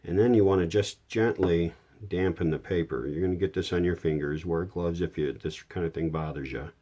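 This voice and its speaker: This is a man with a low, dark and relaxed voice. He talks casually through hands-on repair work, step by step. His delivery is plainspoken and unhurried, like a hobbyist's tutorial. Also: dull audio. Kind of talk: casual hands-on how-to narration.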